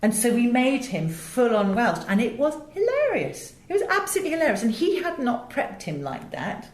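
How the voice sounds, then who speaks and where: A woman with an English accent talking as an interview guest, chatty and expressive, often breaking off and restarting. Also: a little high, normal speed. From England. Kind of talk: animated interview conversation. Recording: echoey room.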